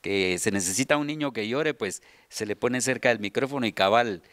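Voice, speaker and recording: A middle-aged man with a Guatemalan accent explaining things in an interview, often pausing to find his words. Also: mid-range voice, fairly bright tone, no room sound, studio quality. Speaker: children's radio theater subdirector and voice announcer. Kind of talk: interview answers, explanatory talk. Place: large hall with seated group, indoors. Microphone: handheld interview microphone.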